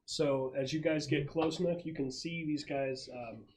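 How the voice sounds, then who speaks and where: A man narrating a tabletop game as game master in a casual, slangy way with halting descriptions. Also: a little high, steady tone, darkish tone.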